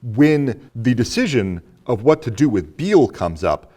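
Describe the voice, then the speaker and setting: A man's voice: medium pitch, big pitch swings, unhurried, warm tone. A man hosting a casual film discussion, posing questions in a conversational way. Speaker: show host. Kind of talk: casual interview and film chat.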